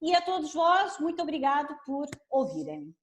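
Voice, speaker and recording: Earnest high-voiced woman from Angola. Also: sing-song, normal speed, roomy sound. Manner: earnest, with many "não é?" tags. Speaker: book co-author. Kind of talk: speech at a web conference.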